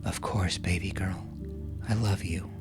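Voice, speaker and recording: A man's voice: low-pitched, steady tone, measured pace, loud music behind the voice. A man voicing a guarded, weary woman, tough yet tender, with terse, restrained delivery in an audiobook story. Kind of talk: dramatised audiobook dialogue.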